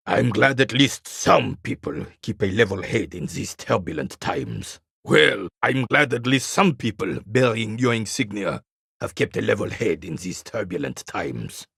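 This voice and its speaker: Gravelly, bitter old royalist veteran. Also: male voice, lowish voice, fairly bright tone, no room sound, clean studio sound, exaggerated voice. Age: 80s. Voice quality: gravelly, rasping, weathered. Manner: curmudgeonly, haughty, formal military speech. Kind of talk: video game character dialogue.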